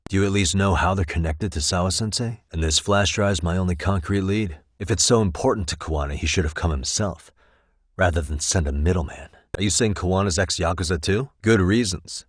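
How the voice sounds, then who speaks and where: An actor playing a composed, determined male detective in a video game, speaking in a measured, probing way with dry wit. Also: deep voice, dry recording. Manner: measured, probing, dryly wry questioning. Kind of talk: English video game voice acting.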